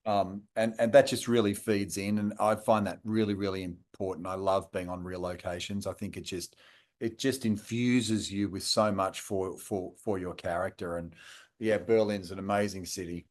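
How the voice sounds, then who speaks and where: Male actor, lively junket answers, lowish voice. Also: moderate pace. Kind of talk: press junket interview.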